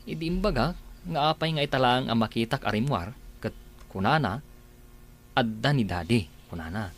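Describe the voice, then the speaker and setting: A man's voice: mid-range voice, expressive, moderate pace. A man playing an earnest, deferential farmhand. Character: loyal farmhand, uncle figure to the boy. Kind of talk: radio drama acting.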